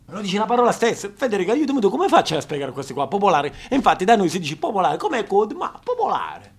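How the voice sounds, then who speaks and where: A man delivering an exasperated comic monologue on a theater stage, swinging from loud rants to hushed, dramatic lines. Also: sits high, sing-song, fast, a little hiss or hum. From Apulia, Italy. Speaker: stage actor.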